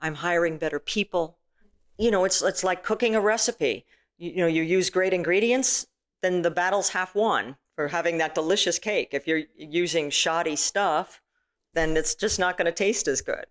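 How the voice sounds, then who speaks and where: A middle-aged woman with a booming, stage-trained voice, chatting casually as an interview guest with lots of "you know"s and restarts. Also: normal speed. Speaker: filmmaker and actress guest.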